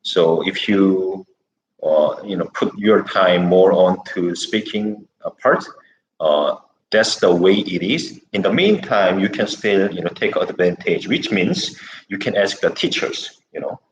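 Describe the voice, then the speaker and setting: A man whose first language is Korean, co-hosting a casual livestream in halting English full of fillers. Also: low voice, roomy sound, highs cut off, a little hiss or hum. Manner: halting, many fillers, "you know". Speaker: co-host.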